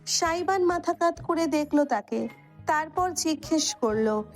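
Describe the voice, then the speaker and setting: High-pitched woman narrating a story. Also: expressive, a little slow, faint music behind. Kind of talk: scripted dramatic narration.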